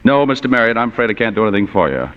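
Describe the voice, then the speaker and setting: An actor playing a wisecracking, hard-boiled private detective in a 1940s radio noir, with a dry, sardonic delivery. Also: male voice, lowish voice, sing-song, very fast, clean tone. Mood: sardonic, cool. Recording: dull vintage radio broadcast recording.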